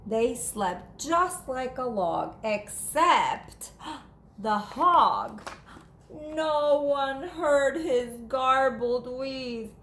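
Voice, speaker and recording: Female teacher, higher voice, unhurried and expressive storybook read-aloud for young children, faint noise behind. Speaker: teacher reading to second graders.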